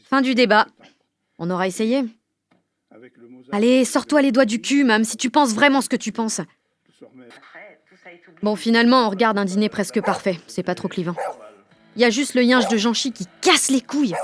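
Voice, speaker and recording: High-voiced, slangy, sardonic teenage girl played by an actress. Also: normal speed, pauses often, lightly acted. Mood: sardonic, irritated. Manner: colloquial, heavily slangy, sardonic. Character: blunt, slangy, fiery sixteen-year-old French girl. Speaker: lead actress and narrator. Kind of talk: first-person narration in an audio drama.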